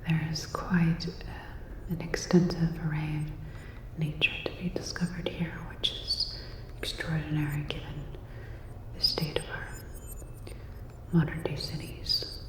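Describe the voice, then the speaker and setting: A woman playing a calm, polite sci-fi robot repair technician in a soft, halting whisper, as an ASMR roleplay. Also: sits low. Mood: soft, reflective. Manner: slow, halting, gently attentive. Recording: echoey, long reverb.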